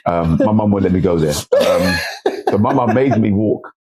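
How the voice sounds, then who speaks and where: A man in his forties with an East London accent talks easily as a podcast guest, his voice very low and husky. He rambles a little, doubling back over his words and dropping in quick agreements. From East London, England. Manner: relaxed, rambling with restarts and frequent agreement. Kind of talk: casual interview chat.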